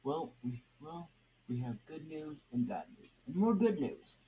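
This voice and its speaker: A man's voice: fairly high pitch, animated, unhurried, dark tone, pitched above the performer's own voice. A man voicing a cynical, grumbling chain-smoking occult magician for an amateur story reading, with a sardonic manner. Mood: bleak, sardonic. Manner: sneering, sardonic. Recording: noisy amateur home recording.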